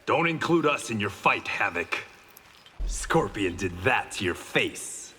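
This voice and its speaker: An actor playing a stern, combative warrior in a video game, blunt and forceful. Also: male voice, fairly low pitch, big pitch swings, slow, exaggerated voice. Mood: grim. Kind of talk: video game character acting. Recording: long reverb, faint background noise.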